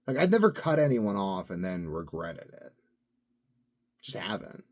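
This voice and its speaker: Man, lowish voice, very animated pitch, casual vlog monologue, musing, meandering. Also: measured pace. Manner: musing, drifting between topics with frequent fillers. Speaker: vlogger.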